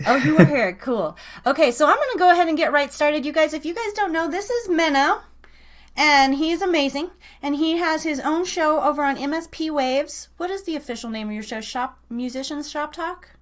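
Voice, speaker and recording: A woman's voice: high voice, animated, normal speed. A woman hosting a live online radio show, chatting casually and playfully with listeners and a guest. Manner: chatty, playful, informal teasing.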